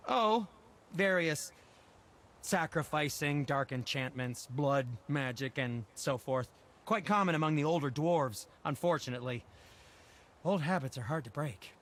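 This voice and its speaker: A man's voice: a little high, animated, unhurried, fairly bright tone. An actor voicing a chatty, polite dwarf craftsman with dry understatement in a video game. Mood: dry caution. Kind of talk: video game dramatic acting.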